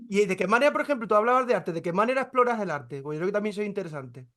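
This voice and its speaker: A woman conducts an interview in a relaxed, friendly way, her voice at an ordinary middle pitch. Her questions circle a little, restating themselves before they land. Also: fast.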